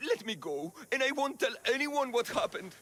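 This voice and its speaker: A man's voice: very high voice, very animated, normal speed, bright, forceful tone, full character voice. An actor playing a cowardly cult henchman who stammers and pleads frantically under threat. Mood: panicked. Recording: orchestral game score underneath.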